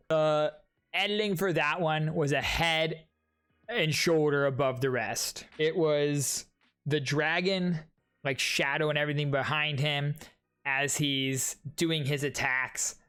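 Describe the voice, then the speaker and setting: A man streaming casual reaction commentary on game trailers, excitable and slangy, gushing as he ranks them. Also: on the high side, clear, resonant tone. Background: trailer music.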